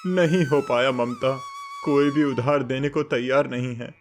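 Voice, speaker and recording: A man's voice: mid-range voice, steady tone, a little slow, close to an everyday voice. A man voicing a humble, kind, desperate village father in a cartoon moral story, earnest and pleading. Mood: worried, despairing. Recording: music bed under the voice.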